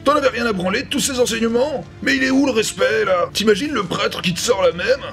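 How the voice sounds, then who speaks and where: A man's voice: sits high, a little fast. A young man hosting a comic review, mocking and exasperated, with sarcastic, profane commentary. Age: young adult. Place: living room at home. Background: music.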